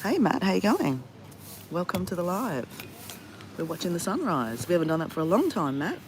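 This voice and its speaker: A man with a sore throat and a nasal, slightly higher voice talks casually to viewers on a live stream. He rambles on a beach at sunrise, speaking into a phone at arm's length. A faint outdoor hum sits behind him. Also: big pitch swings, moderate pace, rough recording. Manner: chatty, rambling, greets viewers by name. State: sore throat, has flu. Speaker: travel vlogger.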